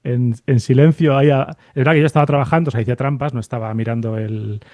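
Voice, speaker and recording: Fast-talking mellow man from Spain. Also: medium pitch, fairly even intonation, no echo. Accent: Spain Spanish. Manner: thinks aloud, seeks agreement with tag questions. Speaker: podcast host interviewing a guest. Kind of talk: casual, reflective interview conversation.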